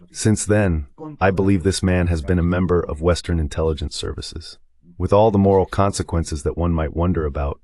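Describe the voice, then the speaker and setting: A man's voice: deep voice, darkish tone. A man voicing a political guest's commentary in translation.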